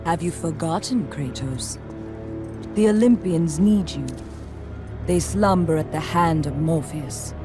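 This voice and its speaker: An actress playing a cold, embittered goddess queen of the underworld in a video game, imperious, measured and formal. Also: low-pitched. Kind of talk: dramatic video game acting. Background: music.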